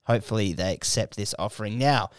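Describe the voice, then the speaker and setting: A man's voice: lowish voice, fast, smooth, no room sound, clean studio sound. A man in his twenties with an Australian accent, hosting a casual, crude podcast chat full of jokes and fillers. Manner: crude, joking, rambling with fillers. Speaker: podcast host. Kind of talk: casual drunk podcast banter.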